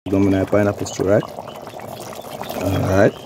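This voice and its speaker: A man's voice: low voice, monotone, moderate pace. A man with a Jamaican accent casually narrating his cooking as host, often repeating phrases and tags. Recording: clear background noise, pot sizzling and clatter.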